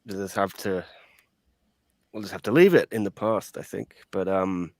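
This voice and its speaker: A man hosting a podcast, relaxed and conversational as he reads out listener comments. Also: low voice, moderate pace, no echo. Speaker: podcast host. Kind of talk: casual chat, reading listener comments.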